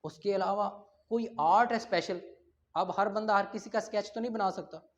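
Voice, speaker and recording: A man's voice: high-pitched, moderate pace. A man with a Pakistani accent giving a didactic exam-prep law lecture, explaining with everyday examples and rhetorical questions. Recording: dull, lo-fi lecture audio.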